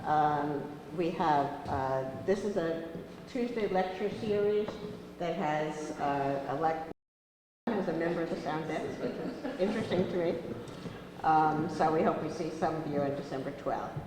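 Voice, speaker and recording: A woman hosting a lecture, introducing the speaker with halting, filler-heavy remarks. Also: sits low. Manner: hesitant, many ums and uhs. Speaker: program host introducing a speaker. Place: lecture room with audience. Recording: very echoey room, faint noise.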